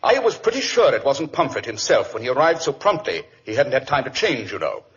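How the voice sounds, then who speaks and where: An actor playing a confident, urbane British detective with a precise, theatrical manner in 1940s radio drama style. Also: male voice, mid-range voice, very animated, a little fast, a bit bright, breathy edge, full character voice. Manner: precise, theatrical, self-assured. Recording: dull vintage radio recording with hiss.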